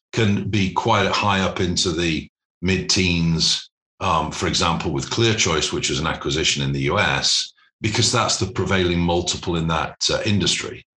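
A man being interviewed as a business executive, explaining things in a measured, articulate corporate manner. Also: deep voice, bright, forceful tone. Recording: roomy, echoing sound.

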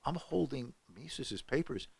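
A man's voice: medium pitch, expressive, a little slow, creaky, husky, dry recording. An older man telling a long story on a podcast, with many fillers and restarts. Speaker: guest scholar. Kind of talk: podcast conversation.

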